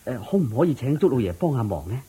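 A man's voice: medium pitch, sing-song, rapid-fire, dark tone, husky. A man playing a humble, deferential court musician in a Cantonese radio drama, speaking meekly and politely. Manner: meek, polite, subdued. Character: humble, deferential male court musician asking for his due.

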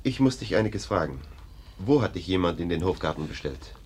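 An actor in a German radio drama playing a gruff investigating official, distrustful and commanding, with a brusque, scornful manner. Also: male voice, low voice, sing-song, fairly quick, a little mellow, slight background noise. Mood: probing.

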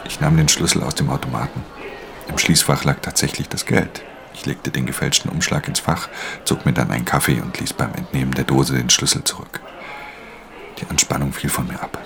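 A man playing a professional pickpocket who recounts his theft in measured, breathy, half-whispered radio drama narration. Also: extremely low pitch, fairly even intonation, a little hiss or hum, full character voice. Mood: controlled. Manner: measured, hushed storytelling. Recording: music under the voice.

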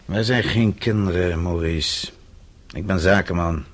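An actor playing a weary but defiant captive businessman in a Dutch radio drama, sardonic and blunt, halting at times. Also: deep voice. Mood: defiant, scornful.

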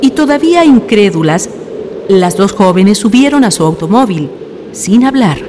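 A woman's voice: mid-range voice, lively intonation, moderate pace, mellow, slight background noise. A woman giving scripted narration for a radio drama, in a storyteller's role.

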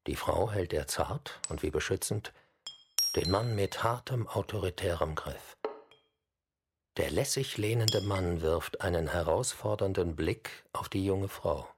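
A man's voice: sits low, measured pace, background noise. A man giving plain, observational, scripted descriptive narration.

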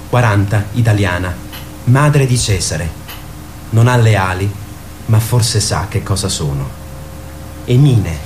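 A man narrating a radio play, reading scene and character directions in short measured phrases. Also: lowish voice. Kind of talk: scripted theatrical narration, reading stage directions. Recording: clearly noisy background.

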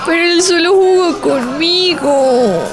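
A woman's voice: very high-pitched, takes it slowly. A woman playing a lovestruck, dramatic teenage schoolgirl in a radio drama, with a Colombian accent and an earnest, emotive delivery. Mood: tearful, heartbroken. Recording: music under the voice.

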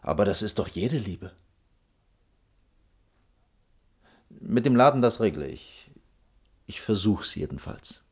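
Animated, brusque male official, low-voiced. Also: moderate pace, slightly rough. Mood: grudgingly conceding. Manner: brusque, grudging, swinging to outbursts. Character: exasperated pragmatic male architecture official and boss. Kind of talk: radio drama dialogue.

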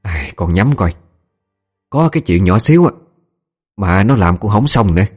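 A cruel, middle-aged mistress of a big house, acted out by a man for an audio story. She berates and snarls in a Southern Vietnamese accent, pushed higher than the reader's low natural voice. Faint music plays underneath. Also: sing-song, normal speed, darkish tone, slightly rough, full character voice. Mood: irritated, self-justifying.

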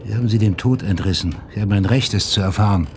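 A male actor plays a wary ship's officer in a radio drama. His voice is on the low side and his manner blunt and insistent. Background noise is clearly heard. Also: quick pace, darkish tone, lightly acted. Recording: clear noise, ambience behind.

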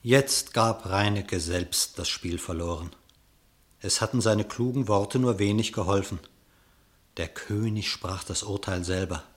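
A man narrating a literary radio play in verse, with measured, dramatic storytelling. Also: fairly low pitch.

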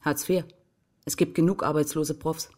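An actress in a radio crime drama playing a combative young left-wing activist, curt, defiant and accusatory. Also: female voice, low voice, steady tone, normal speed, darkish tone. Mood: defiant, scornful. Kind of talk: German crime radio play dialogue.

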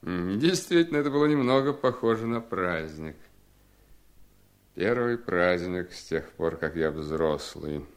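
An ailing, reflective husband in a 1970s radio drama. The voice is low with wide swings of intonation, courteous yet quietly firm. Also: male voice, lively intonation, measured pace. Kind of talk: 1970s Soviet radio play acting.

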